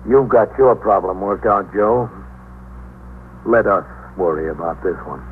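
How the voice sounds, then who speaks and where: Low-voiced, steady police captain. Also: male voice, unhurried. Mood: steady concern. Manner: calm, terse, matter-of-fact. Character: steady, kindly middle-aged police precinct captain. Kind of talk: 1950s radio drama acting and narration. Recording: narrow, noisy 1950s radio recording, hum.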